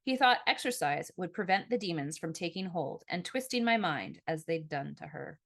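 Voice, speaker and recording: Woman reading her novel out loud, kinda low voice, expressive audiobook narration. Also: normal speed, vocal fry. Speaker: author reading own novel. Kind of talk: scripted first-person audiobook narration.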